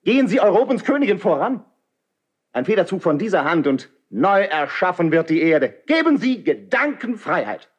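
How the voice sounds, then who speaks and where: An actor playing an idealistic, proud young nobleman in a 1970s German radio play, delivering classical verse as impassioned, rhetorical declamation in a husky voice. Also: high-pitched, very animated, normal speed, highs cut off, heavily acted. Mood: impassioned pleading.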